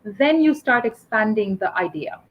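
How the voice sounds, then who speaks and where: A woman's voice: a little high, lively intonation, moderate pace. A woman from Pakistan tutoring English one-on-one, patiently explaining step by step and often checking with "right?". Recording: muffled lo-fi call audio.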